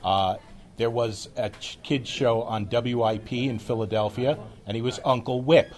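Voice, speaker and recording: A man's voice: mid-range voice, fairly even intonation, normal speed, a little mellow. A man in casual conversation, with a busy crowd around him. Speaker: club member at a luncheon. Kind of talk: casual chat. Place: club luncheon in a busy room. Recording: busy crowded room behind.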